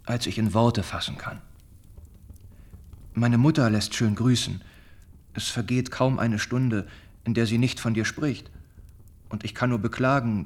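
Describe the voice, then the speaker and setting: An actor in a German radio play, playing a lovesick young gentleman, earnest and measured as he voices heartfelt letters. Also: medium pitch, lightly acted. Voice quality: husky, breathy.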